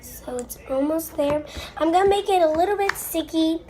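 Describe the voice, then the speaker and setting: A woman's voice: very high voice, expressive, normal speed, darkish tone. A young girl with a stuffed-up nose, chatting casually and a bit apologetically to viewers as she crafts at home, rambling as she goes. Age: child. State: stuffed-up and under the weather. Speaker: slime video maker. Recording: noisy amateur recording with clicks.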